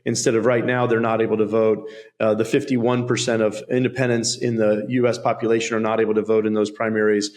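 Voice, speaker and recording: A male podcast host who affirms his guest and sums up each point in his own words before moving on. His voice sits at a middle pitch and he talks in an easy conversational way. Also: darkish tone. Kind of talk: podcast interview.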